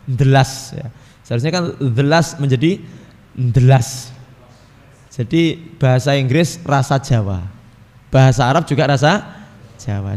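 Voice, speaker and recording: A man's voice: mid-range voice, darkish tone. Javanese-accented man teaching in a reverberant hall. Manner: mixes Arabic recitation with casual explanation, addresses audience as Bapak Ibu; frequent throat clearing. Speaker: ustadz teaching a hadith book. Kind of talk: religious study lecture.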